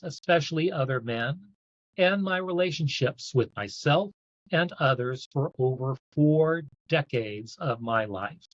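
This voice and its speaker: A man hosting an event, reading a written personal account aloud in a measured, earnest way. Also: medium pitch, fairly bright tone, no room sound. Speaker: event host reading a submitted story aloud. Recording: dull, lo-fi, dry sound.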